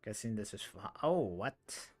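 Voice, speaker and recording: A man's voice: fairly low pitch, lively intonation, unhurried, faint music behind. A man doing casual live game commentary, informal and muttering to himself as he thinks aloud. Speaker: game streamer.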